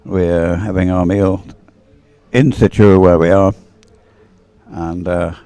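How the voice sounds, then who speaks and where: A man's voice: sits low, fairly even intonation, slow pace, dark tone, smooth. A man doing live sports commentary and off-the-cuff chat in a hesitant, rambling way, often repeating words.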